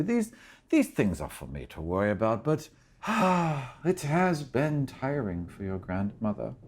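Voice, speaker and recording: A man's voice: mid-range voice, warm tone, slight background noise. A man voicing an elderly elven grandmother in a fantasy tabletop game, doting yet imperious and world-weary, with genteel, fussy phrasing. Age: very old.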